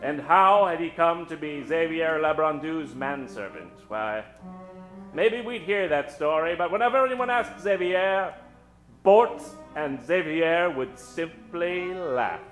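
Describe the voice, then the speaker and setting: A man hosting and narrating an old-time radio spoof on stage, with a theatrical, mock-ominous delivery full of dramatic pauses. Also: fairly high pitch, expressive, a bit bright. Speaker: host and narrator of a radio show. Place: theater stage before an audience. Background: live keyboard music.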